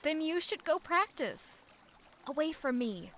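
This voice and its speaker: A woman's voice: high-pitched, big pitch swings, measured pace, a bit bright, exaggerated voice. A woman voicing a troubled young maid who answers curtly and defensively in an audio drama. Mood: guarded, curt. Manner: curt, defensive replies.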